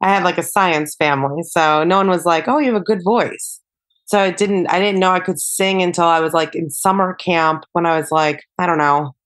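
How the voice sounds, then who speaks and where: A young woman with a somewhat low voice talks casually as a podcast guest. She rambles in a relaxed way, peppering her sentences with "like" and "you know". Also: moderate pace. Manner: chatty, many fillers like "like" and "you know". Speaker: podcast guest, singer-songwriter. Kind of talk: casual interview chat.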